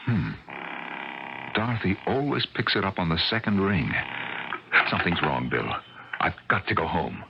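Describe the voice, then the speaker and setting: An American actor playing a grave, anxious middle-aged scientist in an earnest, dramatic radio drama style. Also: male voice, deep voice, animated, normal speed, vocal fry, long pauses. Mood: worried, firm. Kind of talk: 1980 radio drama acting. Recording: dull, very noisy old radio broadcast.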